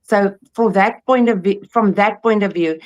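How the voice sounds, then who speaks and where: Woman, podcast host, pet nutritionist, emphatic, earnest, mid pitch. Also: normal speed. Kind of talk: casual podcast chat.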